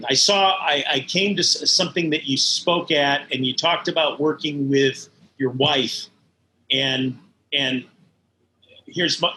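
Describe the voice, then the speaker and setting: Interview guest: mature man, bright forceful tone, thoughtful and halting, faint background noise. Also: medium pitch, rough recording. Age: middle-aged or older. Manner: halting, thoughtful, searching for words. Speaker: interview guest, animation studio head. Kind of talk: conversational interview, reminiscing.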